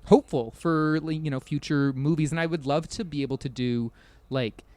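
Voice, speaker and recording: A man's voice: a little high, steady tone, moderate pace, warm tone, dry recording. A man chatting casually as a podcast guest, rambling and self-deprecating, often hedging and chuckling as he talks.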